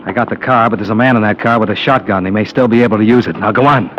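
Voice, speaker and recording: An actor playing a tough, principled American investigator in a vintage radio drama, speaking in a terse, firm, wry manner. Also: male voice, on the low side, very fast, fairly bright tone, natural voice. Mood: urgent. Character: tough, principled male insurance investigator, hard-boiled and resolute. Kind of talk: 1940s-50s radio drama acting and narration. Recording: dull old radio recording, music behind.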